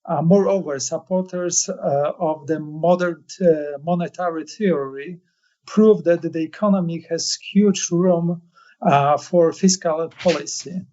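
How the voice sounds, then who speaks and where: A man interviewing, reading prepared questions in a halting way with many pauses. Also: a little high, mellow, lo-fi sound.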